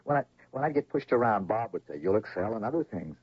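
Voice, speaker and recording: An actor playing an earnest, troubled doctor in a radio drama, speaking in an insistent, halting, questioning way. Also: male voice, on the low side, big pitch swings, slightly rough, dry recording. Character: earnest, troubled male doctor haunted by lost father. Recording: dull, lo-fi old radio recording.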